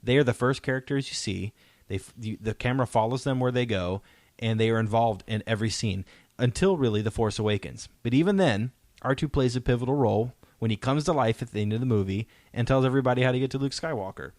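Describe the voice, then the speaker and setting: A man's voice: mid-range voice, quick pace, darkish tone, no room sound. A man hosting a podcast, chatting casually as a fan in an enthusiastic, emphatic way that seeks agreement. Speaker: podcast host.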